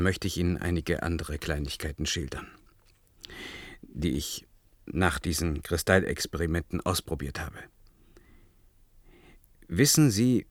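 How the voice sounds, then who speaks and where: An actor in a science-fiction radio play, playing an earnest, agitated amateur crystallographer on a submarine who explains things hesitantly yet insistently. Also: male voice, sits low, no echo.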